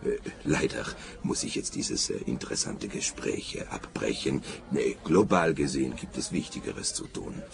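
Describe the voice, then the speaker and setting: An actor in a radio play playing a self-important, evasive bank director who talks in a halting, stuffy way. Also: male voice, extremely low pitch, animated, vocal fry, a crowd in the background, exaggerated voice. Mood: evasive, dismissive. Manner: halting, stuffy, full of drawn-out hesitations.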